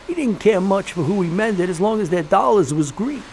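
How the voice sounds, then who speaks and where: High-voiced, animated gangster boss. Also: male voice, moderate pace, a little hiss or hum. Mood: sly, amused. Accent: American. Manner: streetwise slang, sly insinuation, theatrical. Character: gleeful sweet-toothed gangster crime boss, sly and menacing. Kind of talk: period gangster audio drama acting.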